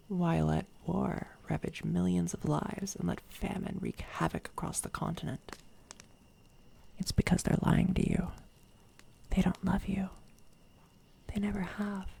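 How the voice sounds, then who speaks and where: A woman voicing a sinister, megalomaniac evil doppelganger in a soft-spoken ASMR roleplay, breathy and often whispering, with deliberate, grandiose, condescending delivery. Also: fairly low pitch, heavily acted. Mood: menacing, probing. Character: sinister female evil doppelganger, coldly megalomaniac would-be ruler. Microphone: close binaural microphone.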